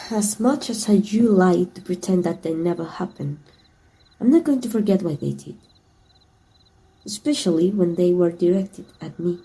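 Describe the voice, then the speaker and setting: A woman from Mexico voicing a teasing, tender female villain in an intimate ASMR roleplay, soft and breathy with some whispering. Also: on the low side, lively intonation, measured pace, pauses often, rough recording. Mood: teasing, probing.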